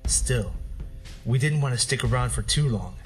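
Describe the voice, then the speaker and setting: A man's voice: mid-range voice, animated, moderate pace, faint music behind, natural voice. A man voicing a dry-witted wolf drifter warrior who also narrates the story in first person. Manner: wry, matter-of-fact. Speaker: narrator and lead character. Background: fantasy score.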